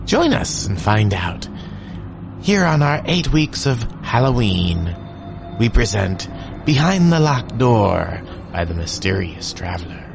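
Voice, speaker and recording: A man's voice: mid-range voice, vocal fry, background noise. A man hosting a podcast, introducing an old radio episode in an upbeat, explanatory way. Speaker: podcast host.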